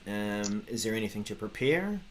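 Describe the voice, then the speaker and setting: A man in his forties co-hosting a tech news show, talking casually in a halting, conversational way. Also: on the low side, very animated. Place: home office, video call.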